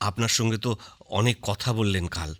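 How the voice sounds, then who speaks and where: A man playing a brisk village doctor. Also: fairly low pitch, a bit bright, lightly acted. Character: calm male village doctor, middle-aged. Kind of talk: radio drama acting. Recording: radio play with music behind.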